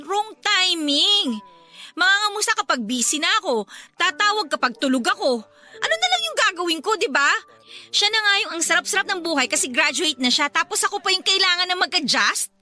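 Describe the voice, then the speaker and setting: A woman's voice: very high voice, sing-song, fairly quick, pushed and bright, exaggerated voice. A woman acting a stressed, complaining young student in conversational radio drama dialogue. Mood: exasperated. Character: stressed young female med student and her nagging younger sister. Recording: faint music under dialogue. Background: faint background music.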